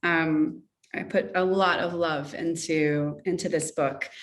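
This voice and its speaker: A woman's voice: deep voice, steady tone, takes it slowly, distant mic. A woman author from Russia chatting effusively in an interview over a video call, rambling and circling back mid-sentence. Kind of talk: casual interview chat.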